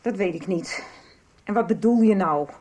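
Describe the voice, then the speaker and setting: A woman's voice: medium pitch, moderate pace, darkish tone, close to an everyday voice. An actress playing a defensive, exasperated middle-aged woman in a radio soap.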